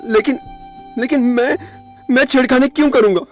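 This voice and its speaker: A man acting a grieving young struggling actor in a radio drama, pleading and repeating himself haltingly. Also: extremely high pitch, soft background music. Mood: tearful, pleading.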